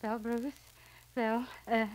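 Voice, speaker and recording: A woman's voice: fairly high pitch, flat delivery, slow. An actress playing an anxious, earnest middle-aged mother in a 1940s radio serial, hesitating and repeating her phrases. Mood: nervous. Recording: crackly 1940s radio transcription disc.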